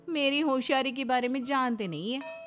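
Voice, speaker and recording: Young meddlesome daughter-in-law played by a woman, cartoon family comedy: high voice, naive, insistent, faint music underneath. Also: expressive, moderate pace. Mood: nosy, indignant. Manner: nosy, insistent questioning. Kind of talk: animated Hindi family comedy story acting.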